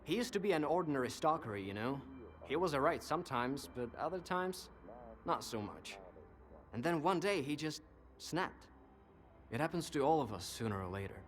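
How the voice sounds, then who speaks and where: A man's voice: fairly high pitch, very animated, moderate pace. An actor playing a cynical, worldly male informant in a video game, talkative and sardonic, with an insinuating conversational manner. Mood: wry, resigned. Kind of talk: video game dialogue acting.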